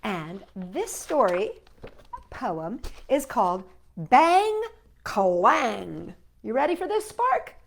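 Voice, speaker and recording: A woman's voice: medium pitch, big pitch swings, drawn-out words, gravelly. A woman hosting a children's storytime, chatting playfully and speaking directly to young children. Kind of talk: playful children's storytime with reading and sing-along.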